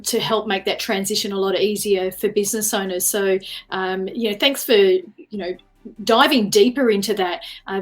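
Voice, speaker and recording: A woman, interviewing a guest in a warm, encouraging, chatty way. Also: mid-range voice. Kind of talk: interview questions.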